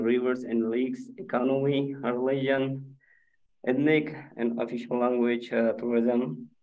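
A man with English as a second language giving a student presentation over a video call, hesitant with many fillers and restarts. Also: mid-range voice. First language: not English. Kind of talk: halting slide presentation. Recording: muffled, echoey video call audio.

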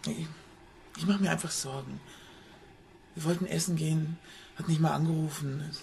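A woman's voice: low voice, fairly even intonation, normal speed, vocal fry, darkish tone, slight background noise. An actress playing a worried middle-aged mother in a crime radio drama, hesitant, insistent and often repeating herself. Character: worried mother searching for her missing banker partner.

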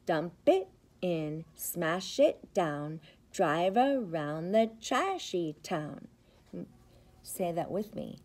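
A middle-aged woman, a preschool teacher, reads a picture book aloud to young children with expressive, rhythmic, sing-song phrasing. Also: on the low side, extremely slow. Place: indoors, school classroom. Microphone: device camera at about arm's length.

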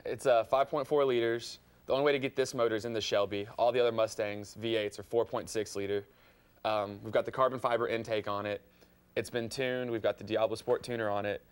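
A man's voice: lowish voice, steady tone, fairly quick, smooth. A young American man talking casually as an interview guest in an open garage. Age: young adult. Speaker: car owner being interviewed. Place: open home garage and driveway.

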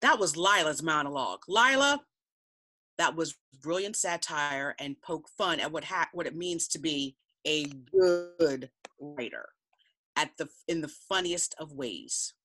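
A woman's voice: sits low, lively intonation, unhurried, pushed and bright. A woman speaking as a festival host, presenting and commenting on performances.

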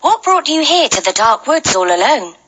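A woman voicing a bold, bossy young robber girl with a gruff, commanding manner in a children's storybook. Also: high-pitched, sing-song, moderate pace, a bit bright. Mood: bossy, friendly. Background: music.

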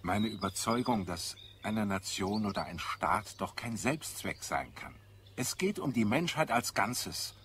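A low-voiced, earnest scholar in a radio drama. He speaks in a measured, solemn way, like a visionary idealist. Birdsong is clearly audible behind him. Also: animated, a bit bright, slight background noise. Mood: idealistic. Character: earnest idealistic male scholar, secretly half Martian. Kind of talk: German radio play acting. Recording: clear background birdsong and ambience. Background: birdsong in a garden scene.

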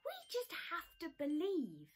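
An excitable young storybook bear, performed by a woman, speaks in a high voice that leaps about. He is cheerful and insistent, full of hope, and exclaims his lines. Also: unhurried, a little hiss or hum, heavily acted. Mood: excited, hopeful. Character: eager, hopeful young male bear, excitable believer.

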